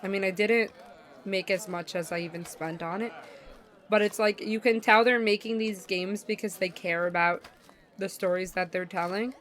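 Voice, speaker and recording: A young woman streaming a visual novel, chatting casually in an expressive, slangy way. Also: mid-range voice, moderate pace. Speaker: game streamer. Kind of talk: casual chat while reading a visual novel.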